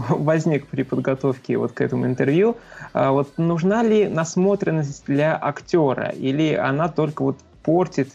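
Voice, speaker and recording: Gravelly, higher-voiced male interviewer. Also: mellow, dull audio. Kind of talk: informal interview questions.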